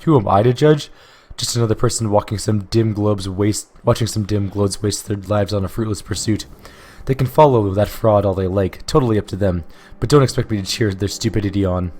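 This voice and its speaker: A hard-nosed female slaver snaps out blunt, contemptuous answers in a hoarse, low voice, as a man reads her lines aloud. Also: fairly quick, hardly any pauses, a little mellow, lightly acted. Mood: dismissive. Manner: curt, contemptuous. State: hoarse from illness.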